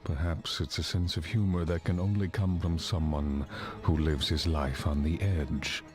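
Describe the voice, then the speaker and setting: Genial elder politician, low voice, measured and formal, game cutscene with music behind. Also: male voice, fairly even intonation. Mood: genial, musing. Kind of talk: video game cutscene acting. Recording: music under the voice.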